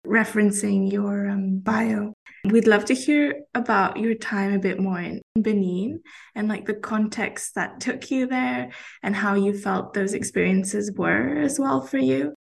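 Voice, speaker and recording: A woman co-hosting a podcast speaks at a middle pitch with a noticeable gravelly fry in her voice. She answers her guest thoughtfully and supportively, sometimes restarting her words. Her delivery stays conversational and unhurried. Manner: reflective and affirming, with small restarts. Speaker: podcast co-host. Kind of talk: casual podcast interview.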